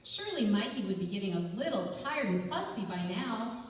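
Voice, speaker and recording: Lively chatty neighbour lady, played by an actress. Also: on the high side, fairly quick. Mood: chatty, concerned. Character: chatty, concerned small-town neighbour woman. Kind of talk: stage radio theatre acting. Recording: echoey hall from far off, faint noise.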